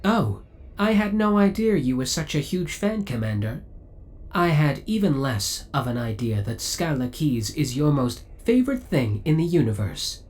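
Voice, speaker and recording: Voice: medium pitch, big pitch swings, moderate pace, a little mellow, lightly acted. An actor voicing a possessive, devoted spaceship AI in an audio roleplay, speaking in a formal, precise, clinical and politely menacing way. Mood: politely curious. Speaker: voice actor playing a ship's AI. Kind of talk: scripted ASMR audio roleplay acting.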